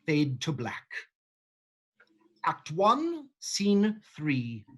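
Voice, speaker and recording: Husky stage-direction reader. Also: medium pitch, huge pitch swings, extremely slow.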